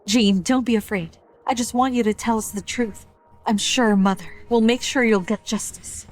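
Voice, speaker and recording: Haughty, high-voiced noble matriarch played by an actress. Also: female voice, steady tone, moderate pace, natural voice. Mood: calm. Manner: haughty, commanding, scolding. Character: imperious noble mother-in-law, a scolding, sharp-tongued matriarch. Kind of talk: dubbed period drama acting.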